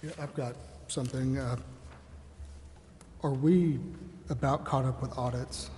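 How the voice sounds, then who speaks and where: A man's voice: mid-range voice, fairly even intonation, a little slow, dark tone. A man serving as a council member, asking informal questions during a meeting. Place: large indoor council chamber. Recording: reverberant hall, faint noise.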